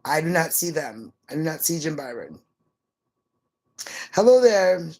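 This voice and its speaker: Woman, middle-aged, livestream co-host, casual chat, easygoing, musing, slightly halting. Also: low-pitched, big pitch swings, a little mellow. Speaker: live stream co-host.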